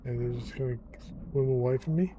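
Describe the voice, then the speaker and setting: A man's voice: medium pitch, steady tone, fairly quick, muffled recording, noisy background. A man, talking to himself casually as he plays a video game. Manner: chatty, talking to himself while playing. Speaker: gaming YouTuber. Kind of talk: casual gameplay commentary.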